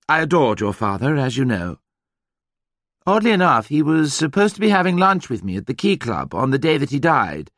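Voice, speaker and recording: A man's voice: a little high, a bit bright, no room sound, studio quality, pitched above the performer's own voice. A man voicing a strained, drawling upper-class Englishman bearing bad news in a mannered, gushing way.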